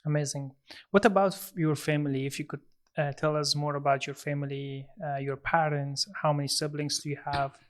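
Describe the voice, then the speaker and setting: Polite man hosting, flat higher voice. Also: brisk. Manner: polite and encouraging, asks in long strings of questions. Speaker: podcast host. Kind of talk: interview questions.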